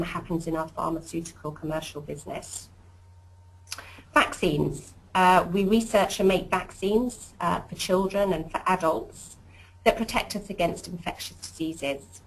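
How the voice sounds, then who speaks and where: A woman hosting a corporate webinar as programme director, presenting warmly and chattily with frequent ums and uhs. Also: fairly low pitch, poor audio.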